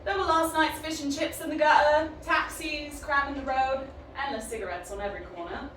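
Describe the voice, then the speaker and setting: High, echoey, sardonic young woman, played by an actress. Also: a little fast, a bit bright. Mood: wry, musing. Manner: wry, rambling, irreverent. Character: young, defiant, sardonic woman on drugs, recounting her own story. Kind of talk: dramatic first-person audio drama narration. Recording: echoey, distant, faint background noise.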